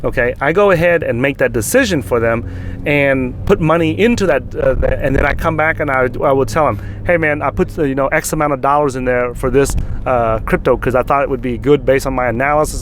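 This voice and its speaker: A man co-hosting a casual chat, talking in an animated way, often repeating his words and laughing. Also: mid-range voice, slight background noise. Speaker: co-host.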